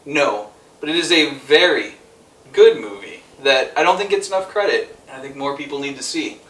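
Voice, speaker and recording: A man's voice: medium pitch, animated, moderate pace, fairly bright tone. A man debating casually and emphatically as a show co-host, opinionated and given to swearing. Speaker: co-host of a movie ranking show. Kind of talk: casual argumentative debate among friends. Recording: echoey room, distant from the mic.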